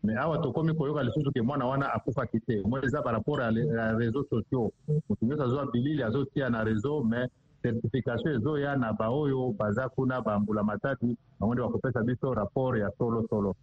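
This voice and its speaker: Husky Congolese man, dull lo-fi sound. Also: medium pitch, steady tone, brisk, no room sound. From Democratic Republic of the Congo. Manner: code-switches between Lingala and French. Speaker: provincial government official interviewed. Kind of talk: radio news interview, explaining. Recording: muffled, dull lo-fi line.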